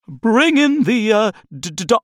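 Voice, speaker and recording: A jittery young technician, voiced by a man in a strained, much higher voice, stammering and tripping over his words. His delivery is full of hesitant fillers and sudden jumps in pitch. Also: very animated, measured pace, no echo, clean studio sound. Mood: nervous. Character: nervous stammering male lab technician.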